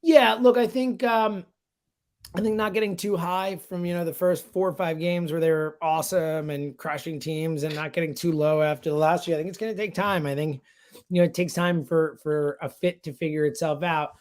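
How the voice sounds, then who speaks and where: A man's voice: fairly high pitch, steady tone, normal speed, darkish tone. Emphatic man talking sports. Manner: blunt, opinionated, emphatic, slangy. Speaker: sports radio producer and host, guest commentator. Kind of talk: casual sports talk analysis.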